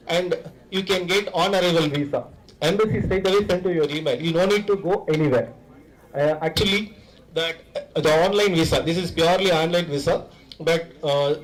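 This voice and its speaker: Higher-pitched Indian-accented man addressing students. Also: rough recording. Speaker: visiting university director of international programs.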